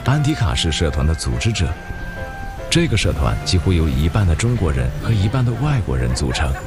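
Male narrator for a news feature, low voice with a lively, rolling pitch, measured reading over background music. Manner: measured, even-paced reading. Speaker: documentary narrator.

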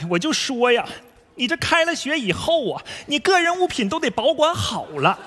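A man's voice: very high voice, big pitch swings, normal speed, bright, forceful tone, big-room sound, a little hiss or hum, full character voice. A man playing a fussy, bashful middle-aged father in a stage comedy sketch, nagging and exclaiming with a Northeastern Chinese accent. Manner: naggy, exclamatory.

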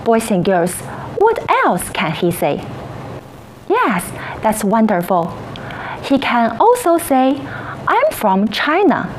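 A woman teaching a children's English lesson, encouraging and praising in slow, sing-song teacher talk. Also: on the high side, wildly swooping pitch, slight background noise, poor audio.

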